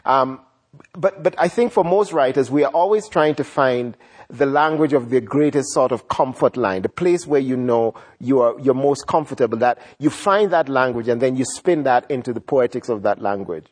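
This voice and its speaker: A writer who grew up in Ghana, answering interview questions in a reflective, unhurried way, often repeating words as he thinks. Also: medium pitch. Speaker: writer and guest.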